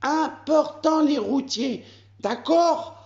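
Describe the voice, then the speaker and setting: A man voicing a greedy, always hungry male pet animal that talks slowly and simply. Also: very high-pitched, a little mellow, lo-fi sound, pitched above the performer's own voice, heavily acted. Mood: earnest. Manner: slow, simple, drawn-out talk.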